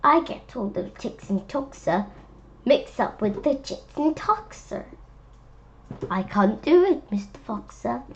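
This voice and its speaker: A woman's voice: on the high side, animated, takes it slowly. A woman voicing a meek, flustered storybook creature who pleads politely and apologetically. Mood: reluctant, flustered.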